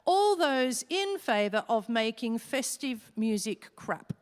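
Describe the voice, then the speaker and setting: A woman acts out a devil chairing a council of fiends in a radio play, theatrical and commanding. The voice is fairly high and unhurried, ringing through a hall with long reverb. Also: sing-song. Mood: stern, official. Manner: theatrical, deliberate, speaking in rhyme. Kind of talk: staged radio play acting. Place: live stage in a hall.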